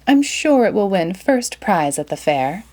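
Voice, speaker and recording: A woman's voice: mid-range voice, very animated, measured pace, a little mellow. A woman voicing a devoted, determined young woman with an earnest manner in a storybook read-aloud. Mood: reassuring.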